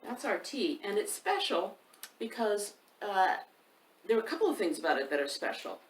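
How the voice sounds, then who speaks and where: A woman's voice: medium pitch, very animated, moderate pace. A woman hosting a storytime for children, speaking unhurriedly and directly to young listeners as she chats and reads a picture book expressively. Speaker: children's author and storytime host. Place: indoors by a fireplace, roomy sound. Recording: roomy, distant microphone with faint background noise.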